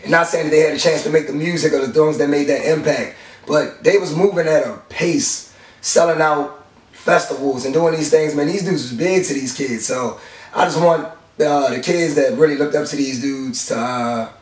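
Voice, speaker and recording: A man's voice: on the high side, moderate pace. Man with a failing voice, roomy. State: losing his voice. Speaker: podcast co-host. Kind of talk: casual group chat. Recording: roomy sound, mic at a distance.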